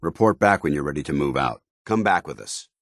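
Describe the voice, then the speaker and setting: An actor playing a stern, honorable soldier in a video game, with a gruff voice and a clipped, formal, earnest delivery. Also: male voice, extremely low pitch, lively intonation, fairly quick, fairly bright tone, dry recording, studio quality, exaggerated voice. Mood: solemn, dutiful. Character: stern, duty-bound military paladin, gruff and honorable adult man. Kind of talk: video game companion dialogue.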